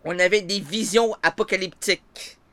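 A man with a Québécois accent telling a comic story in an animated way, jumping between character voices. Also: high-pitched, moderate pace, no echo. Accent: Québécois French. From Quebec. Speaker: comedian.

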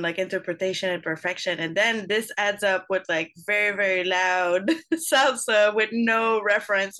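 A woman musician talks through her answers in a bright, clear-toned voice. She often stops and restarts her sentences while hunting for the right words, and the pitch sits in a middle range. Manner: searching for words, frequent restarts. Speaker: trumpet player guest. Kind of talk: casual interview answers.

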